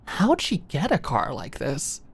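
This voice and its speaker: A woman's voice: sits low, wildly swooping pitch, a little slow, vocal fry, slightly rough. A woman reading scripted romance fiction as an audiobook narrator in an ASMR style.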